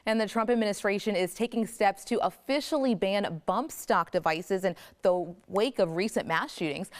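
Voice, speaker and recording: Woman, news anchor, brisk clear delivery, TV studio. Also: mid-range voice. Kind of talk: morning news reading and interviewing.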